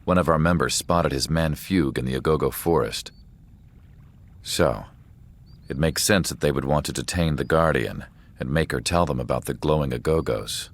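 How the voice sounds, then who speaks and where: A man's voice: extremely low pitch, moderate pace. A man voicing a stern, commanding rebel leader in a video game, serious and authoritative.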